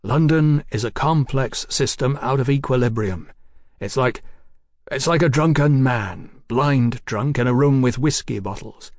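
A man playing a tipsy, earnest educated gentleman. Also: mid-range voice, measured pace, no echo, clean studio sound, natural voice. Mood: intense, rambling.

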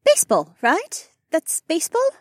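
A woman's voice: very high voice, moderate pace, no echo, clean studio sound, exaggerated voice. A woman playing a bubbly, gushing young English wife with an English accent in an audiobook. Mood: chirpy, friendly. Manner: excitable, squealing, gushing. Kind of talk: audiobook character acting.

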